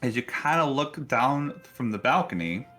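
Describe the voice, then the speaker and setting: A man acting as game master, narrating casually and haltingly with frequent pauses. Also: mid-range voice, expressive, bright, forceful tone, soft background music. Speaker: game master of a tabletop role-playing game.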